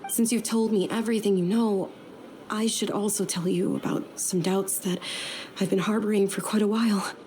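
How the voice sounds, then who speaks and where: An actress playing a gentle young noblewoman in a game, speaking softly and courteously. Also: medium pitch, fairly even intonation, normal speed, slight background noise, natural voice. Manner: soft, courteous, careful. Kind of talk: game voice acting.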